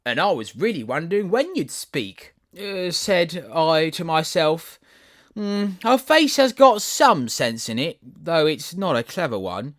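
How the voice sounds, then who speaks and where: A prim garden rose with a rather severe, condescending air, put on by a storyteller in a loud, raised, drawn-out voice. The pitch rises and falls freely as it passes judgement. Storybook reading. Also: big pitch swings. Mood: superior.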